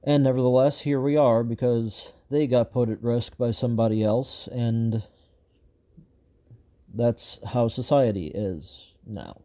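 A man with a very dark, mellow voice talks straight to his viewers in an off-the-cuff update. He rambles with frustration, stacking fillers and false starts, and his voice is slightly hoarse. The pitch of his voice sits in a middle range for a man. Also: a little slow. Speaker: show host giving an update. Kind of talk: unscripted personal update to viewers.

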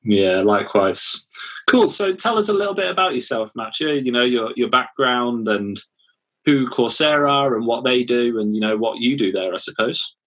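A man's voice: medium pitch, animated, normal speed, a bit bright, low-quality recording. A man hosting a podcast, upbeat and given to repeating his words as he interviews a guest. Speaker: podcast host.

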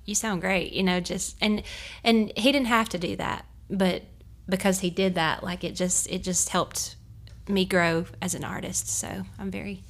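A woman in her thirties from North Carolina with a Southern accent, a singer speaking earnestly and gently in a hotel-room interview. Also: medium pitch, fairly even intonation, a little slow. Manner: earnest, gentle, searching for words. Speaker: bluegrass singer guest. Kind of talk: casual interview.

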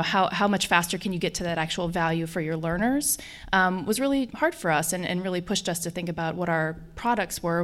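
A woman from Colorado, a guest on a live podcast, talking things through thoughtfully and often checking in with "right?". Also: fairly low pitch. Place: conference hall with a live audience.

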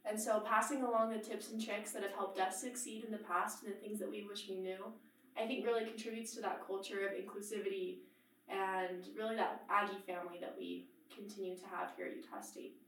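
A young woman student guest speaks earnestly and clearly. She sits back from the mic in a roomy space with faint background noise. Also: mid-range voice, level tone, brisk. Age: young adult. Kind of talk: casual chat. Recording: roomy and a bit far from mic.

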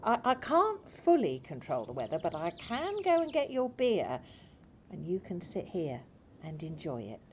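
An actress playing a patient, caring hospice nurse in an audio play, speaking in a gentle, hesitant, reassuring way. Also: female voice, lowish voice, huge pitch swings, moderate pace, slight background noise. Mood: accommodating.

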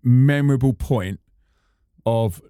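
A man's voice: mid-range voice, slow pace, mellow, clear, resonant tone, dry recording, clean studio sound. A man hosting a podcast, chatting casually and joking with his guest, with lots of fillers. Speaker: podcast host.

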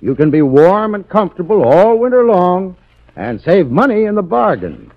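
American male commercial spokesman, 1940s radio: slightly high voice, lively pitch, folksy instruction, dull old recording. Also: moderate pace. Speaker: commercial spokesman, heating expert. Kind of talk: scripted 1940s radio advertising talk.

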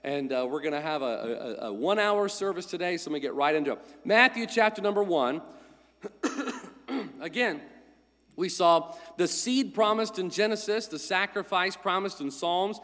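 A male pastor with a somewhat high voice for a man, still congested from a cold, preaches in a friendly, conversational way. His words ring out with a long reverb through the church sanctuary. He speaks into a pulpit microphone. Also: lively intonation, normal speed, fairly bright tone. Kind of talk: sermon with announcements.

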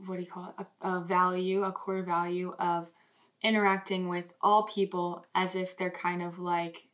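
A woman's voice: fairly low pitch, little pitch movement, normal speed, a bit bright, dull audio. A young adult woman talking casually and earnestly in a podcast discussion, with an informal way of phrasing things. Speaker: podcast participant posing questions.

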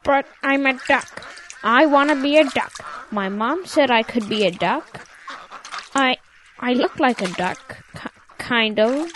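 A woman's voice: high voice, animated, measured pace, a bit bright, slight background noise. A woman voicing a stubborn, naive young cow who pretends to be a duck, earnest and childlike, in a children's audio drama. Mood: hurt, defiant.

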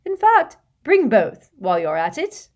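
A woman's voice: on the high side, wildly swooping pitch, a little slow, a little mellow, voice pushed up. A woman voicing a doting, gushing wealthy old woman who shows off her treasures in a fawning, breathless way, dropping now and then to coy whispers.

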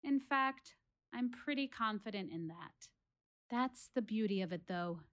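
An actress playing an earnest, reflective female warrior, speaking to a theatre audience in a rhetorical one-woman monologue with dramatic pauses. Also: medium pitch, animated, a bit bright. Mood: resolute. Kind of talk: one-woman stage monologue audio play.

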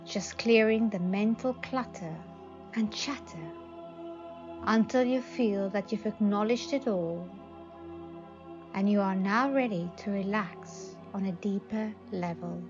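A woman guides a meditation at a very slow pace, soothing and full of long pauses. Her pitch is average. The recording is slightly dull, with faint music underneath. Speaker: psychic advisor, meditation guide.

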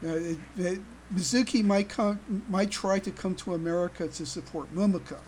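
A woman co-hosting a casual fan podcast, speaking haltingly and repeating words as they go. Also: fairly low pitch, darkish tone, slight background noise. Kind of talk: casual chat.

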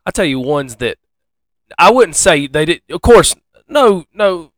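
A man's voice: fairly high pitch, sing-song, unhurried, no room sound. A man co-hosting a podcast in a casual chat about films. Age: adult, married. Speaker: podcast co-host.

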